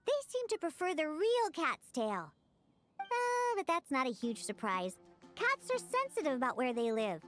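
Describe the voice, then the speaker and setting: A woman's voice: sits high, huge pitch swings, moderate pace, fairly bright tone, soft background music, heavily acted. A woman voicing a young female tavern bartender in a video game, casual, dry and mischievous. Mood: casual explaining.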